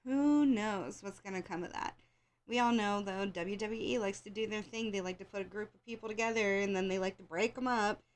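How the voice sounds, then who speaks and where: An American woman talks in a loose, conversational ramble. Her voice is a little congested and scratchy at mid pitch. She sounds like an opinionated fan addressing her listeners informally, circling back over her phrases. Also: fairly quick. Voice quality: slightly congested, scratchy throat from allergies. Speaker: host of a wrestling show. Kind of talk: casual rambling chat to listeners.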